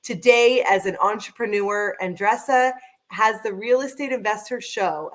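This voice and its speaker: A woman's voice: mid-range voice, fairly bright tone. A woman hosting a podcast, introducing her guest with effusive enthusiasm. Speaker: podcast host. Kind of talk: upbeat interview hosting.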